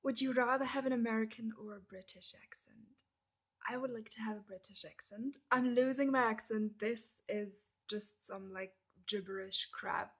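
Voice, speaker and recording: A young woman from Germany with a German accent, chatting casually through a list of questions and often dropping into soft whispered asides. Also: a little high, moderate pace, gravelly. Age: young adult. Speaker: vlogger. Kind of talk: casual question-and-answer tag video.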